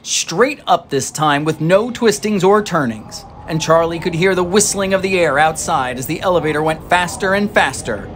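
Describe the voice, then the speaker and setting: A reader narrating a children's book aloud in an animated, scripted style. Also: medium pitch, normal speed, few pauses, a little hiss or hum. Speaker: storybook reader.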